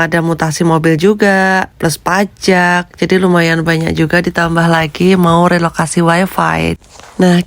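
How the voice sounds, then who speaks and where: A woman vlogger with a hoarse, congested voice from a cold, chatting with viewers as friends and steadily reading out figures. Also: on the low side, no room sound. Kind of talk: casual budgeting vlog talk.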